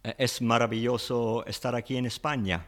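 A man's voice: mid-range voice, fairly even intonation, quick pace. A man with an Italian accent, a Spanish teacher, explaining patiently as an interview guest and often saying "you know".

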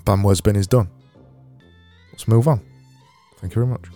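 Deep-voiced Barnsley man, sarcastic podcaster. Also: normal speed, soft background music. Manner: sarcastic, deadpan self-mocking. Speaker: podcast host. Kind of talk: solo comedic podcast monologue.

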